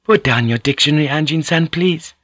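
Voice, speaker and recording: A man voicing a courteous, gentle Japanese noblewoman in an audiobook, speaking softly and coaxingly. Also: a little high, a little fast, no echo, pitched above the performer's own voice. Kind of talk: audiobook character voice.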